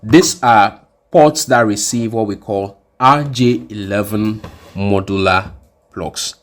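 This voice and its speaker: A man presenting an instructional hardware demonstration in a deliberate, explanatory way, often rephrasing his points. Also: on the low side. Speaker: tutorial presenter.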